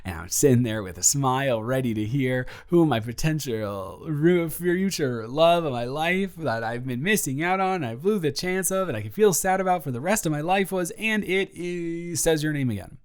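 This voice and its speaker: A man's voice: a little high, lively intonation, normal speed, hardly any pauses, clear, resonant tone, natural voice. A man playing a flustered, self-deprecating young man who rambles and stammers in an improvised audio roleplay monologue. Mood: flustered, joking. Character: flustered, rambling young man nervously confessing to his roommate.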